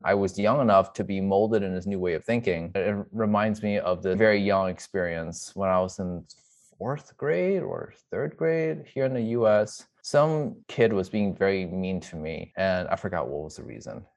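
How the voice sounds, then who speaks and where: A man's voice: sits low, moderate pace, darkish tone. A man in his thirties telling a personal story as an interview guest, conversational and reflective. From Chinese American, in the US from childhood.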